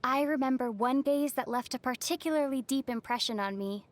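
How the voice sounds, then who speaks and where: A woman playing a gentle young female adventurer in a video game, speaking in a soft, composed, dryly playful way. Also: sits high, a little fast, lightly acted. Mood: calm, reflective.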